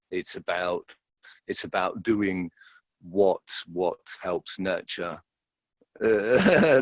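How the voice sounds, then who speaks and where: A man's voice: low voice, husky, no room sound. A man reflecting in an interview, speaking hesitantly and repeating words as he thinks aloud. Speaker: interviewed actor.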